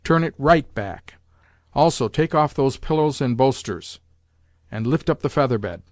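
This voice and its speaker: A man's voice: mid-range voice, expressive, measured pace, no echo, clean studio sound, natural voice. A man reading aloud, voicing an imperious old grandmother who scolds and gives orders bluntly.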